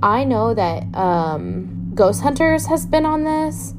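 A woman's voice: fairly high pitch, very animated, takes it slowly, background noise. A woman hosting a casual solo podcast from home, telling a creepy legend in a chatty, rambling way with playful asides. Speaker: podcast co-host. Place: home, with her cat nearby.

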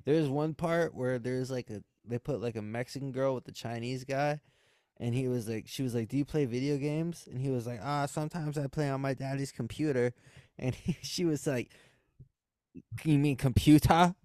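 Young guy on a podcast, smooth clear voice, dry close sound, laughs a lot and does voices. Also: mid-range voice, moderate pace, darkish tone, no room sound. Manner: laughs often, mimics voices when telling stories. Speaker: podcast co-host. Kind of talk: casual crude banter.

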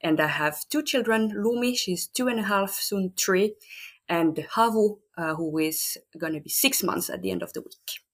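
A woman's voice: mid-range voice, moderate pace. A woman from France in her thirties, a mother telling her birth story in a casual interview, thoughtful with frequent fillers. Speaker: guest mother.

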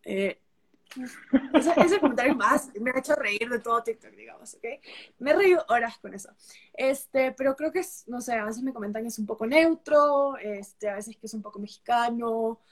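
A woman's voice: fairly high pitch, moderate pace. A young woman from Peru with a mixed Peruvian and Costa Rican accent, a travel creator chatting as a guest on a live stream, full of fillers and restarts. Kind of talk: casual live-stream chat. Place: traveling on a road trip, remote connection.

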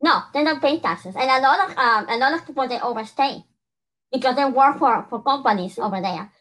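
Bright-voiced woman from Peru. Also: high-pitched, expressive, brisk, low-quality recording. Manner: earnest, many restarts and "you know". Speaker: podcast co-host. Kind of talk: casual conversation.

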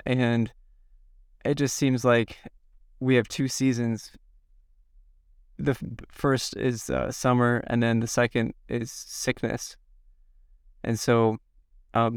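Slow, slightly hoarse Midwestern man. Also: mid-range voice, little pitch movement, dry recording, clean studio sound. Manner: slow, halting, reflective. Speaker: podcast guest. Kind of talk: self-interview.

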